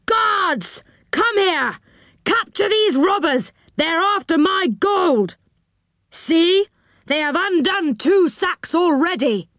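A woman's voice: high-pitched, animated, slow, creaky, dry recording, clean studio sound, voice pushed up, exaggerated voice. A woman voicing an imperious, suspicious male enchanter in a storybook, with a commanding manner. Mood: angry commanding. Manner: loud, commanding.

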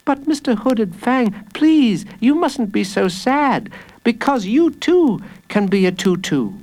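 Man putting on a high, eager little boy. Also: sing-song, takes it slowly, full character voice. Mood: coaxing. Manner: bright, eager, insistent. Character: cheeky, earnest, eager little boy prisoner.

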